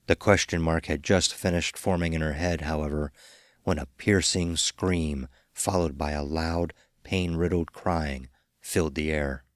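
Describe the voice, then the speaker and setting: A man narrating a scripted horror story as a dramatic, measured storyteller. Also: very deep voice, steady tone, no echo, clean studio sound.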